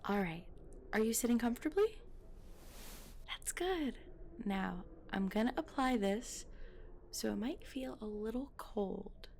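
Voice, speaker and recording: A woman playing a kind, professional hospital midwife in an audio roleplay, explaining things gently and patiently. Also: a little high, animated, measured pace, long pauses, a little hiss or hum. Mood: gentle, reassuring.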